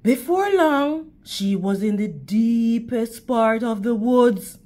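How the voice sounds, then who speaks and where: A woman's voice: a little high, slow pace, a little mellow. A woman reading a children's story aloud in a teacherly way, addressing young listeners directly. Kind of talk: animated storytime narration with questions to children.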